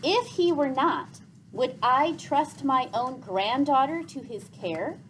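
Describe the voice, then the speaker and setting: A woman voicing a wise, gentle old man in a storybook, speaking in a grave, formal and measured way. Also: high-pitched, animated, slight background noise, pitched above the performer's own voice, heavily acted, poor audio. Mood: earnest. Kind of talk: storybook read aloud.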